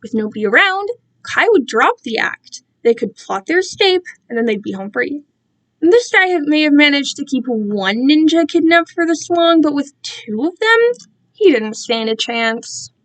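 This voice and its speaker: High-voiced woman reading a story dramatically. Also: big pitch swings, normal speed. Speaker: amateur fan fiction reader.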